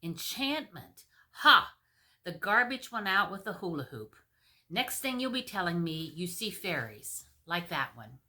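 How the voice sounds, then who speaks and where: A woman's voice: fairly low pitch, very animated, unhurried, sharp tone, heavily acted. A woman playing a lovesick, exasperated and foolish king in a storybook, scoffing and hapless in manner.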